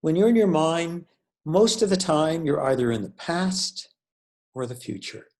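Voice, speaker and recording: A man leading a guided meditation as a teacher, speaking in a measured, deliberate way with pauses between phrases. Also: on the high side, lively intonation, darkish tone.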